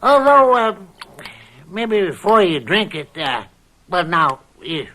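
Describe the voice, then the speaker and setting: An actor playing a scheming, controlling older farm uncle with drawn-out, falsely kindly wheedling in an old radio mystery drama. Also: male voice, sits high, big pitch swings, bright, forceful tone, heavily acted, poor audio. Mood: oily, coaxing.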